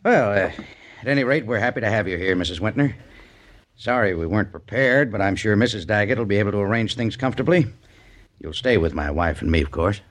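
An actor playing a courteous but firm middle-aged army major at a frontier fort, in a formal 1950s radio drama style. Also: male voice, fairly low pitch, lively intonation, a little fast. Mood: awkward courtesy.

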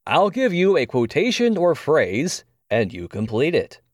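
Man playing a lively, slick game show host. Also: on the high side, a little slow, dry recording, full character voice. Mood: slick, teasing. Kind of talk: game show hosting patter. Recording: noisy studio crowd behind.

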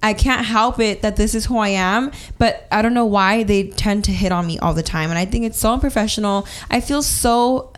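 A woman's voice: mid-range voice, normal speed, few pauses. A young woman hosting a casual podcast chat, talking emphatically with frequent restarts. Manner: emphatic, rising to exasperated quoting. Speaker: podcast host.

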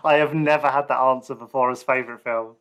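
A man hosts a film podcast in a casual, conversational way. His voice sits at a middling pitch as he interviews his guest. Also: fairly even intonation, fast, fairly bright tone, smooth, poor audio.

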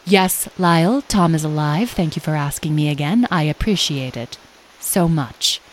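A woman voicing an exasperated magical babysitter in an audio storybook, speaking with sarcastic, deliberate patience and bossy emphasis. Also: sits low, a little hiss or hum, lightly acted, rough recording. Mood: strained patience.

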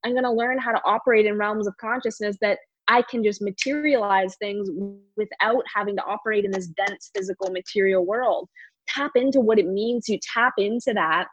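A woman's voice: mid-range voice, normal speed, no echo, highs cut off. A woman speaking as a coach in a podcast interview, motivational and emphatic, repeating words for stress. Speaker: coach and course teacher.

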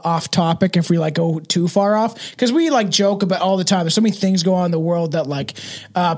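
A man in his thirties chatting casually as a podcast host, rambling and slangy with frequent swearing. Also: high-pitched. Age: 30s.

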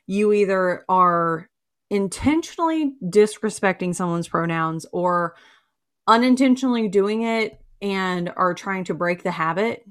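A young woman from the American South with a low voice, chatting casually and bluntly as a podcast co-host. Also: lively intonation, a little slow. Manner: blunt, swears freely, trails off with "like". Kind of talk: casual chat.

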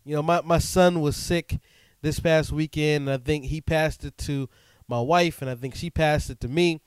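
A man's voice: a little high, moderate pace, smooth, no echo. A male podcast host and DJ with a stuffed-up nose, talking casually and loosely with lots of fillers in a studio. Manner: relaxed and chatty, frequent "um" and "man". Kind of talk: casual solo podcast talk.

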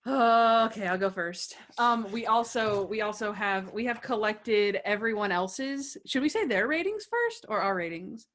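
A woman from Texas with a Texan accent co-hosting a casual podcast chat, upbeat and quick to laugh. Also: on the high side, lively intonation, a bit bright, a little hiss or hum. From Texas, USA. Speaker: podcast co-host.

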